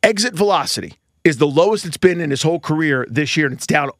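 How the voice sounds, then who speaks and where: A man co-hosting a sports podcast, explaining and bantering about baseball in a casual, animated way. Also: a little high, fast, dry recording, clean studio sound.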